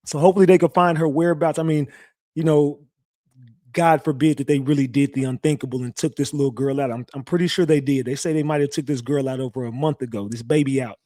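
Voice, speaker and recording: A young man with a slightly raspy, recovering voice, hosting a casual live stream and talking loosely to his chat with slang and lots of repeats. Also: fairly high pitch, fairly even intonation, fast talker, dark tone, dry recording, clean studio sound. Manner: loose, repetitive, talks straight to chat with slang. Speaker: live-stream true crime host. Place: home streaming setup.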